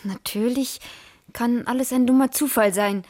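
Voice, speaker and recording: High, husky female detective played by an actress. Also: a little mellow, close to an everyday voice. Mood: probing, polite. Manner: polite, sly, quick to retort. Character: plucky, cultured female private detective's partner. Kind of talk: 1980s German radio crime drama acting.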